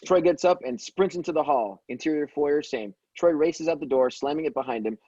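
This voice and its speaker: A man's voice: fairly high pitch, fairly quick, dark tone, no echo. A man reading stage directions as narrator in an amateur table read, stumbling now and then and correcting himself. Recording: dull, dry lo-fi microphone.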